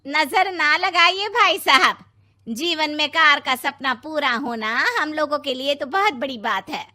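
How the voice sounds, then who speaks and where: A woman's voice: high voice, unhurried, pushed and bright, heavily acted. A woman voicing a practical, worrying middle-aged mother in a cartoon story, emphatic and full of exclamation. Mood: neighbourly.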